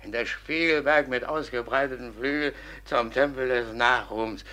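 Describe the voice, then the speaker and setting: An actor playing a boastful young schemer who dreams feverishly of grand plans, declaiming excitably in a classical radio play. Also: male voice, mid-range voice, moderate pace, natural voice. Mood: grandiose.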